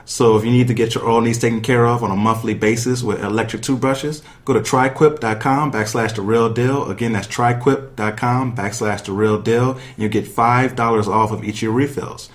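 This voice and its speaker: A man's voice: medium pitch, fairly even intonation, a little fast, few pauses. Guy hosting a sports podcast, regular mid voice, chatty and informal, talks right to the listeners. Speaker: podcast host. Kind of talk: casual sports talk with guests and sponsor reads.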